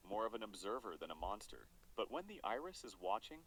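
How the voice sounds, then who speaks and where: A man's voice: fairly low pitch, sing-song, fast, fairly bright tone, a little hiss or hum, low-quality recording. A man reading scripted horror documentary narration in an ominous, dramatic manner.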